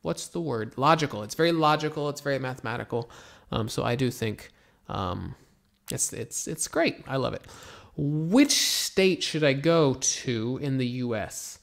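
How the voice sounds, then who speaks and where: An American man from Florida, an English teacher, reads viewer questions aloud and answers them in a relaxed, rambling way with many pauses. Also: mid-range voice, vocal fry. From Florida, USA. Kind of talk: casual Q&A, reading comments aloud.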